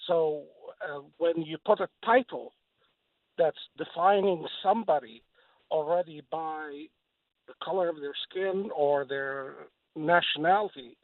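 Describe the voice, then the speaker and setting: A middle-aged man calling in to a radio show by phone, giving his opinion earnestly and hesitantly with many pauses. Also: a little high, no room sound. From Lebanon. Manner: hesitant, measured, pausing often. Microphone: telephone. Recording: narrow, muffled phone line.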